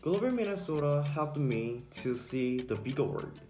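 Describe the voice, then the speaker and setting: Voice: medium pitch, animated, moderate pace, mellow, reverberant, faint music behind. A speaker from South Korea, giving a plain, careful student testimonial. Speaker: former international student.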